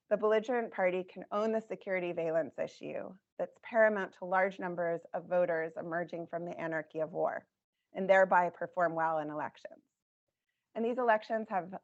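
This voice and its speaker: A woman with a lowish, scratchy voice walks listeners through her research in a measured, explanatory way, pausing on frequent ums. The recording sounds dull and lo-fi. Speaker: academic speaker presenting research. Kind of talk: academic talk.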